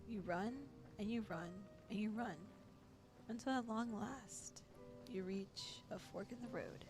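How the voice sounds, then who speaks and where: A woman reading as the theatrical narrator of a horror visual novel, deliberate and foreboding. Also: medium pitch, lightly acted. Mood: dramatic, foreboding. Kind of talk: dramatic second-person storytelling. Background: music.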